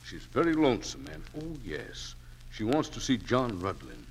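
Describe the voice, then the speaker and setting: An actor playing a sober, courteous family doctor in a 1940s radio drama, measured and formal. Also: male voice, fairly low pitch, wildly swooping pitch. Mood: grave. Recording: noisy old 1940s radio recording.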